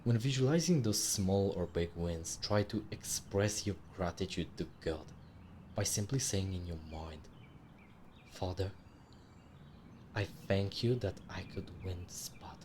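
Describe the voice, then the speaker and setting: A man narrating a guided meditation in a measured, soothing, instructive way with long pauses between phrases. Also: sits low, noisy background. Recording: clearly audible background ambience with birdsong. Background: birdsong and nature ambience.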